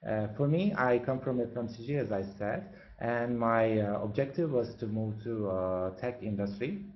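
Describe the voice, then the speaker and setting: A man's voice: medium pitch, moderate pace. A man from Turkey, an MBA student, introducing himself on a webinar and hesitating often on fillers. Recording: echoey, dull lo-fi webinar audio.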